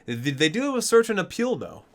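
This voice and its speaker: A man's voice: high voice, sing-song, a little fast. A man hosting an ASMR video, reading guidebook entries aloud and sometimes stumbling and correcting himself.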